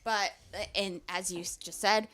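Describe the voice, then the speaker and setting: Female participant, medium pitch, informal, halting and repetitive as she searches for words. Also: steady tone, a bit bright. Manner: hesitant, searching for words, repeating herself. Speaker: discussion participant. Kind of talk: informal spoken interpretation. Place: live group event.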